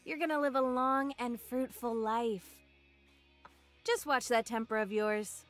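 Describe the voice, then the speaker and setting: A woman's voice: fairly high pitch, fairly even intonation, unhurried, lightly acted. A woman playing a brash, easygoing young woman fighter in a video game cutscene, with casual, wry, slangy delivery. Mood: teasing. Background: music.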